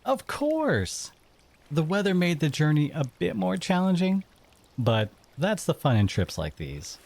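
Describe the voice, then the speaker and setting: A man's voice: fairly high pitch, very animated, measured pace, gravelly, darkish tone, full character voice. A man playing a breezy, ambitious monster envoy in an audio drama, playful and showy in his theatrical delivery. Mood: breezy, charming.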